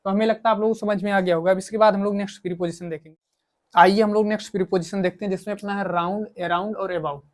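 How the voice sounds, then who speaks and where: A man's voice: high voice, steady tone, fast talker. A man teaching English grammar in a coaching lesson, repeating himself and asking questions that he answers himself. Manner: repetitive, asks and answers own questions. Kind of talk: coaching lesson, explaining in Hindi with English examples.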